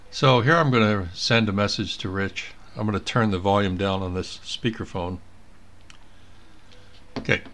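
A man's voice: on the low side, normal speed, long pauses, fairly bright tone. A man giving a casual screen tutorial as an amateur radio operator, thinking aloud as he works. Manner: thinking aloud while working. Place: indoors at a computer.

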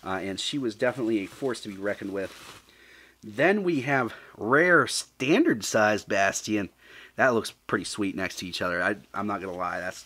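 Man with swooping, animated pitch. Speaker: unboxing video host. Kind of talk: casual unboxing commentary.

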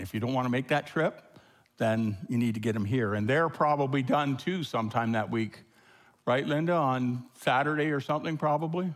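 A man in his sixties with a Canadian accent, a pastor giving chatty church announcements from a sanctuary stage, full of fillers. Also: mid-range voice. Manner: rambling, chatty, many fillers.

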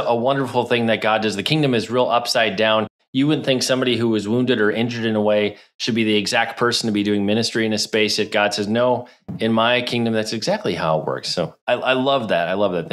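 A man's voice: fairly low pitch, a bit bright. A middle-aged man hosting a podcast from his home studio, reading a scripted opening and then asking friendly questions. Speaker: podcast host. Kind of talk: podcast interview. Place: indoors, home studio with neon sign. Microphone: over-ear headset.

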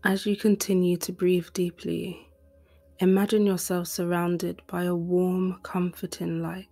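A woman reading a guided meditation in a soft, breathy, gentle and soothing voice. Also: on the low side, level tone, measured pace. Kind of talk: guided meditation, scripted narration. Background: music.

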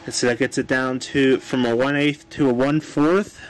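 A man casually demonstrating a home electronics project, unhurried and thinking aloud with fillers, filmed on a handheld camera in a room at home. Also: medium pitch, fairly even intonation, slight background noise, rough recording. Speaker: tutorial presenter. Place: home room with old radio.

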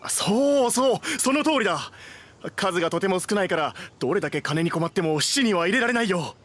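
A man's voice: high-pitched, lively intonation, a little fast, a bit bright. An actor playing a proud, fretful, down-on-his-luck bookish man with weary, old-fashioned speech in an anime game. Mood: flustered. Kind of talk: Japanese anime game dubbing.

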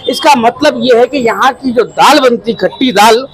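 A man's voice: very high voice, normal speed, a little hiss or hum, rough recording. A young man with a Hyderabadi accent giving a comic, exaggerated promotional speech into news mics in a crowded eatery. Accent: Hyderabadi Hindi-Urdu. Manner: theatrical, comic, exaggerating, stretched-out words. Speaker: restaurant owner promoting his food court. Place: crowded small eatery indoors. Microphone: cluster of handheld news mics.

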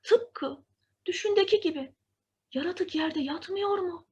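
A woman storyteller reading a scripted fairy tale for children in an expressive, deliberate way. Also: extremely high pitch, highs cut off.

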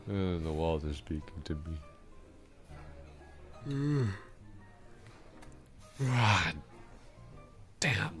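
A man playing a drunk, awkwardly smitten office coworker in an intimate ASMR roleplay, rambling, hesitant and trailing off in a slurred, gravelly voice. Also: extremely low pitch, heavily acted. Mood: confused, curious.

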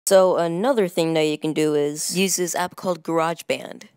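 A woman's voice: deep voice, steady tone, moderate pace, a little mellow, clear, resonant tone, dry recording, studio quality. A woman giving a casual how-to voice-over as a video creator.

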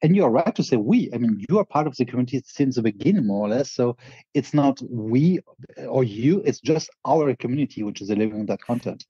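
A man from France with a French accent, co-hosting a casual podcast chat and talking fast with many restarts. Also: mid-range voice, lively intonation, mellow, husky, dry recording, highs cut off. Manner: quick, with frequent restarts and fillers. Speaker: co-host.

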